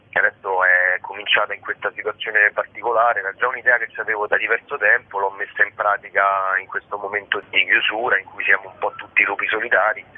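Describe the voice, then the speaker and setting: A man's voice: on the low side, a little hiss or hum. A man giving an informal interview as a guest, explaining things hesitantly as he searches for words, over a telephone. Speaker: guest, curator of a digital theatre book series. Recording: phone-line sound on radio.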